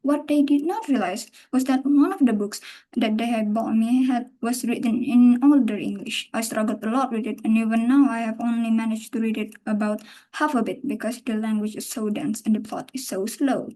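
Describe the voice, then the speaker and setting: A young woman with an Indonesian accent presents to her university class. Her voice sits a little high and sounds mellow. She talks carefully and at a measured pace, sometimes stopping to correct a word. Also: low-quality recording. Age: young adult. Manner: careful, measured delivery with occasional self-corrections. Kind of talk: class presentation, partly read.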